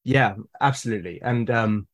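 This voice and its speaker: A British man as a podcast guest, talking in a thoughtful, measured way with small restarts. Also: medium pitch. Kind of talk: casual podcast chat.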